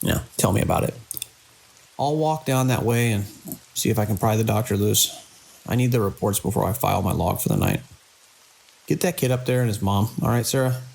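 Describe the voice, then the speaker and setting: An actor playing a controlling male hospital director in an audio drama, brisk and dismissively reassuring as a crisis grows. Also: fairly low pitch, gravelly, darkish tone, slight background noise. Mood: weary.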